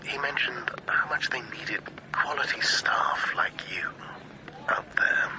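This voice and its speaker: Hushed, breathy male confidant. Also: mid-range voice, slow pace, whispered, poor audio. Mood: reluctant, hushed. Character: reluctant, confiding friend breaking bad news. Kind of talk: radio drama acting.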